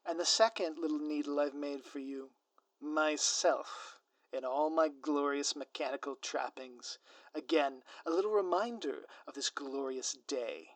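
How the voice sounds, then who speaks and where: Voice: mid-range voice, a little slow, no echo, lightly acted. A performer voicing a scheming, smug ex-supervillain spouse in a scripted audio roleplay, with a theatrical, sardonic, grandiloquent manner. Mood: gloating, menacing. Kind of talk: scripted audio roleplay monologue addressed to listener.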